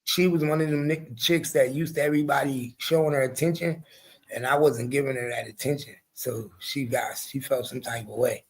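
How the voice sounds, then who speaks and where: A man's voice: medium pitch, normal speed, slightly rough. A man, hosting a casual chat and telling stories bluntly and with lots of profanity. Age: 40s. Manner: blunt, profane, animated storytelling. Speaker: show host.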